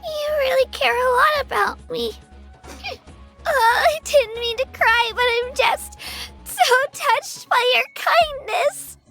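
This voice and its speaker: A woman's voice: extremely high pitch, slow, vocal fry, bright, forceful tone, soft background music, exaggerated voice. A woman voicing an earnest, eager young girl adventurer in a video game, girlish and sincere, easily flustered. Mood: flustered, touched. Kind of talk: video game character voice lines.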